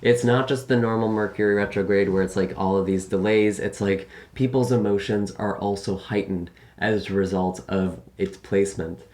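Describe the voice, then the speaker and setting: A young man giving a casual monthly tarot and astrology forecast to camera from a small room at home, slightly raspy from long recording, earnest with many fillers. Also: lowish voice, steady tone, moderate pace, hardly any pauses, a little mellow. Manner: earnest, filler-heavy, gestures with hands. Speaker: tarot reader.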